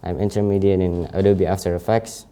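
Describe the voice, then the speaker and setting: A teenage student whose first language is Indonesian presents his own CV in English with an Indonesian accent. His voice sits a little low and has a warm, soft tone. He speaks haltingly, often breaking off and correcting himself. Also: level tone. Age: late teens. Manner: halting, frequent self-corrections. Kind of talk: informal presentation.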